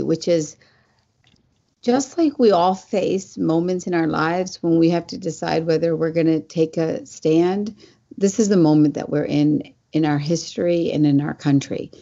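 A woman's voice: on the low side, mellow. A woman speaking as a parent activist, making an earnest, urgent appeal to the audience. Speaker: parent activist, panel guest. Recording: muffled lo-fi audio.

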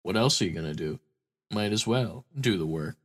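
A man's voice: low voice, expressive, moderate pace, breathy edge. A man co-hosting a podcast in casual chat, stuffy-nosed from a cold, tired and rambling, swearing casually. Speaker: podcast co-host.

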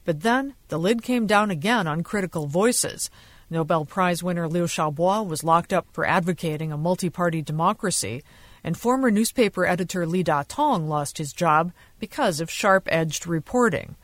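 A woman's voice: fairly low pitch, normal speed, dry recording. A woman correspondent narrating a scripted radio news report. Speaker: foreign correspondent.

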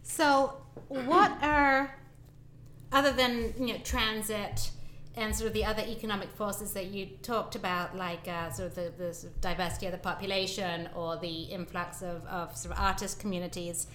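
A woman's voice: mid-range voice, fairly even intonation, moderate pace, fairly bright tone, a little hiss or hum. Female podcast host with a mid-range voice, conversational and curious in her questions. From not from Boston. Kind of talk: podcast interview.

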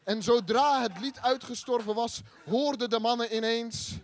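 A man's voice: extremely high pitch, normal speed. A man playing a pompous spaceship captain who grandly narrates his own adventure in a comic sci-fi radio play, theatrical and stumbling over his words. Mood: grandiose. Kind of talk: live improvised sci-fi radio play, mock-heroic narration.

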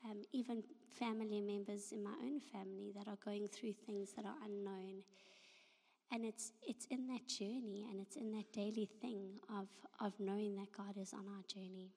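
A woman with a hoarse, half-lost voice from flu, giving a halting personal faith testimony as guest speaker at a church service. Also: mid-range voice, little pitch movement. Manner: soft, halting, breathy near-whisper with many ums. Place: church hall. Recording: long reverb of a large hall.

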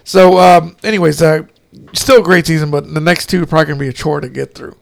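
A man in casual chat as a podcast host, talking in a hesitant, halting way and repeating himself. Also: a little high, steady tone, vocal fry.

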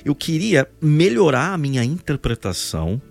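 An animated man with a São Paulo state Brazilian accent hosts a casual podcast. His pitch swings widely as he makes his points with emphasis. Music plays along with his voice. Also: moderate pace, creaky. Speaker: podcast host, voice-over announcer and actor.

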